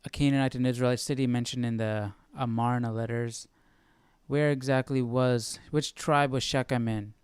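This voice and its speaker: A man hosting a Bible study, casually thinking aloud as he reads, haltingly asking himself questions. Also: medium pitch, steady tone, smooth, no echo. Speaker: host of a live Bible study.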